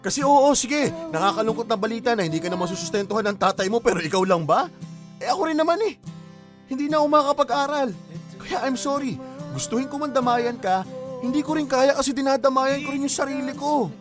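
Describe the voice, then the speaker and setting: A fed-up young boyfriend with a high voice. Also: male voice, fast talker, over music. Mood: bitter. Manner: blunt and exasperated, turning tearful. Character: worn-out young male student boyfriend, blunt and fed up. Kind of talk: radio drama acting.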